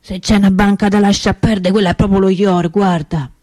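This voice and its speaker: A woman's voice: mid-range voice, fairly even intonation, fairly quick, warm tone, no room sound, studio quality, close to an everyday voice. Actress as a chatty, embittered Roman street seller woman. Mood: suspicious, indignant. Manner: rambling, colloquial, confiding. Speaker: stage and radio actress. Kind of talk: theatrical monologue, colloquial storytelling.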